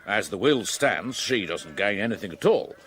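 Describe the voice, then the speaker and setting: An actor with an English accent playing a plain-spoken, methodical police superintendent in a radio drama. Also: male voice, fairly low pitch, animated, moderate pace, fairly bright tone, a little hiss or hum. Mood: musing, reasoning. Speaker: actor in a detective radio play. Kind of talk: British radio drama acting.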